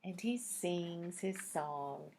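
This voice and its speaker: Female storybook narrator for little kids: slow delivery, slightly low voice, teacherly, invites answers with questions. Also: animated, vocal fry, mellow, slight background noise. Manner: asks listeners questions, teacherly. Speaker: picture book read-aloud narrator. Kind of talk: storybook read-aloud for young children.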